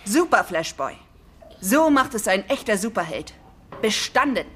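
An actress playing a brisk, strict female teacher at a superhero school in a children's radio play, instructing and commanding. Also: mid-range voice, very animated, fairly bright tone, slight background noise. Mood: approving.

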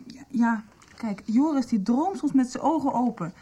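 A supportive young woman in a radio soap. Her voice is mellow and a little high, with a husky edge. She explains haltingly, as if sharing a confidence. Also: lively intonation. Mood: confiding. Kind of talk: Dutch radio soap acting.

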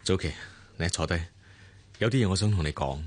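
A man's voice: low voice, fairly quick. A man with a Hong Kong accent acting a devoted, patient businessman husband in a radio drama, earnest and placating. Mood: concerned.

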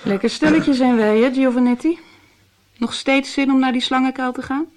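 Worldly, teasing woman, slightly high voice an actress. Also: fairly even intonation, moderate pace, a little mellow, lightly acted. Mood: wry, challenging. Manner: wry, challenging. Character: worldly, teasing, sharp-tongued woman of intrigue. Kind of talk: radio drama acting.

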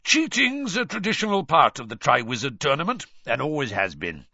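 A man voicing a gruff, shrewd old wizard teacher who growls out blunt advice in an audiobook. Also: fairly high pitch, sing-song, fairly quick, pushed and bright, no room sound, muffled recording, voice pushed up, exaggerated voice. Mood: sly, probing.

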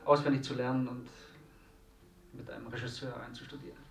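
An actor playing a self-assured, ambitious husband in a radio drama, explaining things emphatically and with self-satisfaction. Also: male voice, mid-range voice, flat delivery, a little fast, creaky, echoey room, recorded from a distance, music in the background, close to an everyday voice. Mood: explaining, pleased.

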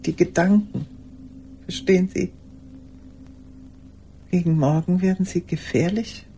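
An actress playing a lonely old woman who confesses haltingly and intimately in a radio drama monologue. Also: fairly low pitch, noisy background. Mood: weary, confiding. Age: older. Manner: hesitant, halting, intimate, with hushed phrase endings.

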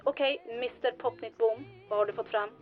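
An actress playing a sassy, quick-witted town hall secretary in a radio drama, teasing and sardonic, in slightly band-limited sound. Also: female voice, a little high, narrow, tinny audio, faint music behind, lightly acted.

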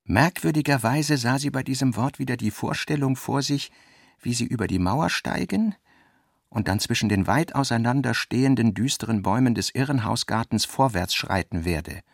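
A man's voice: medium pitch, animated, moderate pace, gravelly, no echo, clean studio sound. A man narrating literary prose as the narrator of a radio drama.

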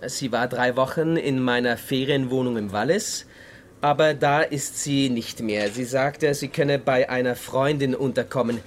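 A man playing an edgy, evasive businessman in a radio play, halting and defensive. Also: medium pitch, fairly even intonation, a little hiss or hum, close to an everyday voice. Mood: uneasy. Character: edgy, evasive businessman, fussy about his routines. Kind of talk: radio drama dialogue.

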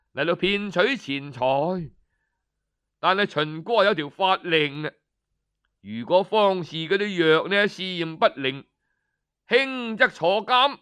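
A man narrating history as a radio storyteller, deliberate and explanatory, pausing for effect. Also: high voice, fairly bright tone, smooth. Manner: deliberate, explanatory, with rhetorical pauses and questions.

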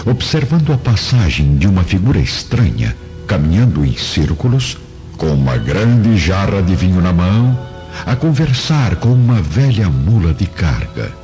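A man's voice: sits low, very animated, moderate pace, dark tone. A man giving dramatic scripted narration for a radio drama. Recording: muffled, music behind the voice.

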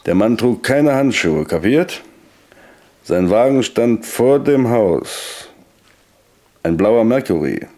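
Menacing gangster, lowish voice, very animated pitch, mocking, falsely polite, 1960s radio drama. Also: male voice, takes it slowly, long pauses, heavily acted. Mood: cold menace. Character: menacing, sneering male gangster intimidating a shopkeeper. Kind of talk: 1960s German radio play acting.

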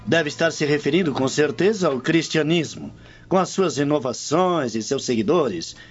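An actor with a Brazilian accent playing a chatty, incredulous Roman friend in a theatrical radio drama. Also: male voice, a little high, moderate pace, soft background music, lightly acted. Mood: curious, surprised. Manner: theatrical, formal, expressive. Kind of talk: dubbed radio drama acting. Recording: dull lo-fi, noisy ambience.

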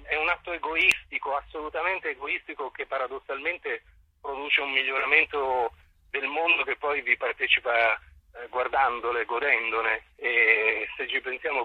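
A man's voice: mid-range voice, slightly rough, no echo, dull audio. A man, a theatre director, giving reflective, analytical interview answers and often searching for words, on a radio phone interview.

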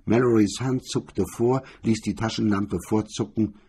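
A man's voice: lowish voice, fairly even intonation, unhurried, dark tone, slightly rough. A man narrating a scripted crime story as its reader.

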